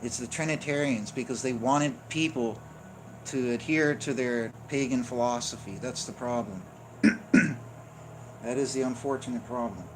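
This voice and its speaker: A man's voice: mid-range voice, moderate pace, poor audio. A man teaching in an unscripted, insistent way, explaining point by point and checking in with "right?" and "okay?". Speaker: religious teacher. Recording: noticeable background noise.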